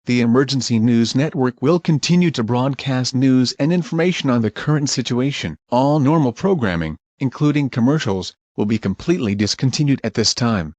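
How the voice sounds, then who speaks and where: A man reading a scripted mock emergency alert as a broadcast announcer, formal, measured and urgent. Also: mid-range voice, a little mellow, no echo, highs cut off.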